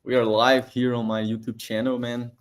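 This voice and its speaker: A man's voice: mid-range voice, flat delivery, moderate pace. A young man from Brazil, a native Portuguese speaker hosting a live stream, speaking English in a friendly, joking way with lots of laughter. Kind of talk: casual interview on a live stream.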